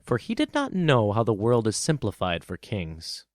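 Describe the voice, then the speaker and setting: Very animated male storybook narrator. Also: fairly low pitch, moderate pace, dry recording, studio quality. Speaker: podcast host and storyteller. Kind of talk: expressive scripted storybook narration.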